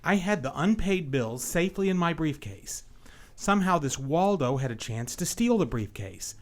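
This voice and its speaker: A man's voice: on the high side, lively intonation, normal speed, natural voice. A man acting a guarded, well-off married engineer caught in a scandal in a noir radio play, speaking tersely. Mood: uneasy, businesslike.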